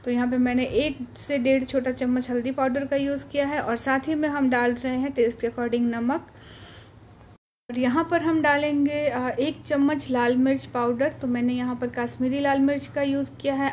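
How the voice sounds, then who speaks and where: High-voiced woman explaining a home recipe. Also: level tone, darkish tone, a little hiss or hum. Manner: patient, explanatory, frequent "uh" pauses. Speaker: cooking channel host. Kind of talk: step-by-step cooking instruction voice-over.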